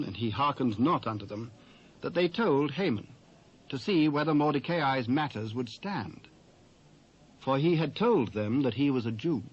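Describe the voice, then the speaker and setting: A British man narrating scripture in a solemn, measured, dramatised style. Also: medium pitch. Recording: dull old cassette recording.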